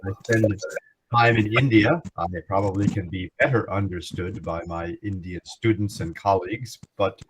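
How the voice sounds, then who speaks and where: Deep-voiced older American law professor. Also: male voice, background noise. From United States. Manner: hesitant, many uh fillers and restarts, measured. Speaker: guest lecturer, law professor. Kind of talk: formal academic lecture.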